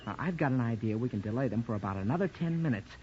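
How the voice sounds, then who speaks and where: A man's voice: medium pitch, lively intonation, racing, darkish tone, close to an everyday voice. A man playing a confident, resourceful young college hero in 1940s radio drama, decisive and earnest. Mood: determined. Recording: muffled, noisy 1940s radio recording.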